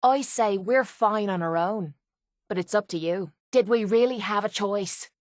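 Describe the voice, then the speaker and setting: A woman's voice: medium pitch, a little slow, dry recording, natural voice. Actress as brash young Irish woman, game brawler, foul-mouthed, sardonic, blunt, defiant, very dry close recording. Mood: sardonic, irritated. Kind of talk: video game character dialogue.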